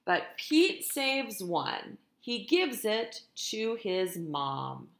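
A middle-aged woman, a teacher, reading a picture book aloud to young children in an expressive, deliberate way, speaking to them directly. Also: medium pitch, creaky, reverberant. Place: indoors in a room at home.

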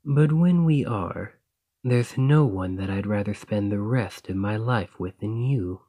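A man playing a devoted, gentle boyfriend in a romantic ASMR roleplay, soft and husky, with a tender, reassuring manner. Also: fairly low pitch, unhurried, dry recording. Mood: loving, earnest.

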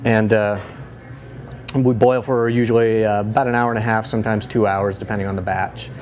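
Easygoing man with a low voice. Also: a little fast. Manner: relaxed, many fillers and restarts. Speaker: head brewer. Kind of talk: casual brewery tour. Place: small brewery inside a bar, among steel tanks. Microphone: lapel mic.

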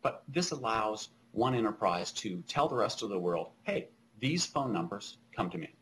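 A middle-aged man presenting technical material over slides in a halting, explanatory way, speaking from a small room on a webcam call. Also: lowish voice, lively intonation, a bit bright, a little hiss or hum, rough recording.

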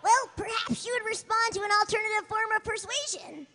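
A woman voicing a squeaky cartoon child as a theatrical villainous governor in a live comic reading. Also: brisk, sharp tone, exaggerated voice. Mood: mock menace. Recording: convention hall with audience noise.

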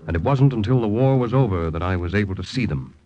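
A male actor as a cool, calculating wartime undercover agent in vintage radio drama. His delivery swings widely in pitch, and music sits behind him on an old recording. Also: lively intonation, fast, warm tone, muffled recording, lightly acted. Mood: grave, confiding. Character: male OSS agent posing as Nazi collaborator, cool, calculating. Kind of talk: 1940s-50s radio drama acting and first-person narration.

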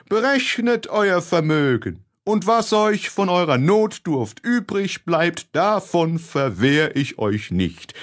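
Guy doing a stuffy condescending official in an audiobook, pitched up, lecturing, swoopy intonation. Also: heavily acted. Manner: preachy, measured lecturing. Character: pedantic, condescending male philistine official lecturing the young.

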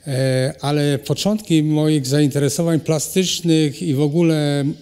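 A middle-aged man, a ceramic artist, answering interview questions in a halting way with many pauses and restarts. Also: on the high side, steady tone, warm tone, clean tone. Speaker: ceramic artist and cultural animator, guest. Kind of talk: hesitant conversational interview answers. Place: hall at a cultural centre. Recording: long reverb of a hall.

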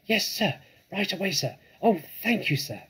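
A man's voice: sits high, lively intonation, moderate pace, fairly bright tone, a little hiss or hum, pitched above the performer's own voice. A man voicing an eager, polite little boy badger in a storybook, breathlessly obedient and grateful.

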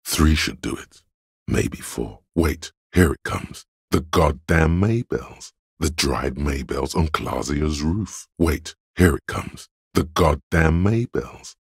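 Inner voice of reason for a detective game: extremely low, raspy, measured, condescending. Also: male voice, lively intonation, no room sound, clean studio sound, heavily acted. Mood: intent, pressing. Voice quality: very deep, gravelly, husky. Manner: measured, deliberate, analytical. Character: cold, analytical inner voice of a detective's logic, condescending. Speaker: video game voice actor. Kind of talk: scripted game narration.

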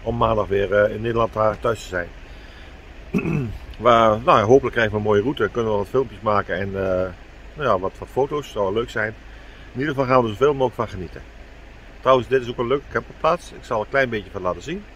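A man's voice: fairly low pitch, brisk, fairly bright tone, a little hiss or hum. A man in his sixties chatting casually to a handheld camera about his camper trip, easygoing, with plenty of fillers and restarts. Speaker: camper vlogger. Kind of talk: casual travel vlog to camera. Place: inside a camper van, then outdoors by a river. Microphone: camera at arm's length.

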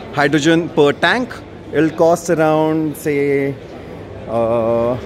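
A man presenting a car on a walkaround at an expo, explaining casually with plenty of ums and uhs. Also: a little high. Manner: casual explaining with many fillers. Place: large indoor auto expo hall. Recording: noisy expo hall.

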